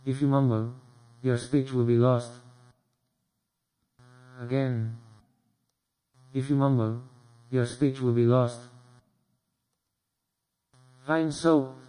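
Fields: Figure: slow mellow robotic man played by a speech synthesizer. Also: medium pitch, clear, resonant tone, lightly acted, poor audio. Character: synthetic male voice reading test sentences.

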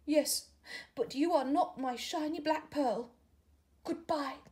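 A woman voicing a gentle young female caterpillar in a storybook, high and emotional. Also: slow pace. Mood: heartbroken. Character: tender young female caterpillar, hurt and heartbroken.

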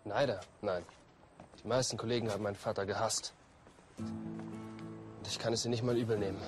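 An actor playing an earnest, subdued young police trainee in a TV drama, with a wounded manner. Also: male voice, fairly low pitch, fairly even intonation, moderate pace, music in the background, lightly acted. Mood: subdued, bitter.

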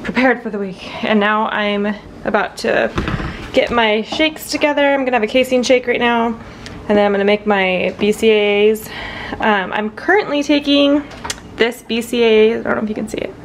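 Young female fitness vlogger, slightly high voice, casual chat, clear household noise behind her. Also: moderate pace. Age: young adult. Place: home kitchen and room indoors. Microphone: handheld camera at arm's length.

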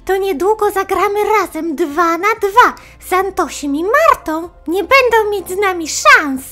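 Woman voicing an excited, very high-pitched little boy. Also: measured pace, faint music behind, full character voice. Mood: eager, confident. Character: excited little boy, proud big-brother-to-be.

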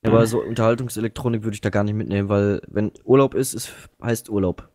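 A man's voice: fairly low pitch, fairly even intonation, fast, background noise. A man chatting casually in a group call while gaming, giving his opinions in an earnest way. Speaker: co-player.

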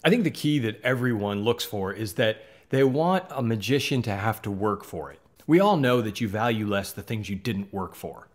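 A man's voice: fairly low pitch, lively intonation, normal speed, few pauses. A man in his thirties with a Midwestern accent, from the American South, talking earnestly to camera as host of a magic channel in a small home studio. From Southern United States. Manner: earnest, conversational, gestures with hands. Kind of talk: casual talk to camera. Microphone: studio mic on boom in front.

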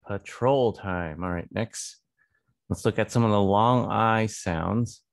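A man in his thirties giving a read-aloud English phonics lesson for children, deliberate and repetitive, close to a desk microphone in a small room. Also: lowish voice, creaky, no room sound. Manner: deliberate, repeats words and sentences. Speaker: English reading teacher for children. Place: small indoor home studio. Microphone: close desk microphone on boom arm.

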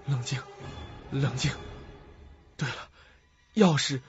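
A man's voice: mid-range voice, slow, lo-fi sound, natural voice. A man playing a terrified, desperate trapped man in an audio suspense drama, stammering his pleas. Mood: panicked, desperate. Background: music.

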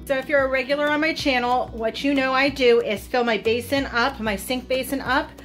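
A middle-aged woman with an American accent speaks in a fairly high voice. She talks casually and chattily, like a lifestyle vlogger addressing viewers, with faint music underneath. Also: fairly even intonation, a little fast, a bit bright. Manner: chatty, informal. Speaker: home cooking and lifestyle vlogger.

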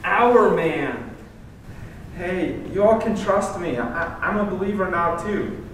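A woman's voice: lowish voice, animated, slow pace. A woman playing a hesitant, sincere newcomer in an amateur radio play. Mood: timid, pleading. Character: hesitant newcomer, timid but sincere new believer. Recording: distant, very echoey room, faint noise.

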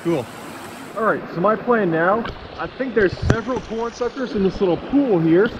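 A man's voice: sits high, normal speed. A young man with a slightly raised voice narrates his catch by a mountain creek, chatty and full of enthusiasm. He is recorded on a body-worn action camera, with faint outdoor noise and running water behind him. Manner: enthusiastic, chatty, filler-laden. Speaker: fishing vlogger.

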